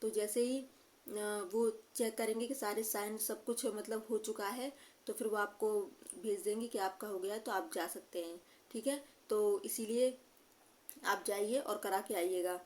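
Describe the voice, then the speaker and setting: A woman's voice: medium pitch, fairly even intonation, measured pace. A young woman talking casually to viewers as a vlogger, explaining things hesitantly and often checking in with short tags. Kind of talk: casual informational talk to viewers.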